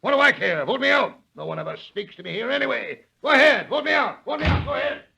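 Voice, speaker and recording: A callous, bullying mill boss in old radio drama. His voice is sharp, creaky and fairly high, barking dismissively on a dull vintage recording. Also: lively intonation, quick pace, full character voice. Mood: bitter, blustering. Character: callous, irascible male mill owner, rich bully. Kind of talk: 1930s radio drama acting.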